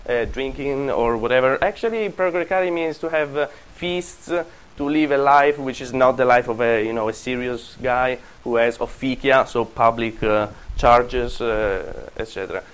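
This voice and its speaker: A man's voice: medium pitch, moderate pace, clean tone. Italian guy lecturing a class, rambles off on tangents, fixes his own words mid sentence. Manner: digressive, self-correcting, jokey asides to students. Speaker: university lecturer.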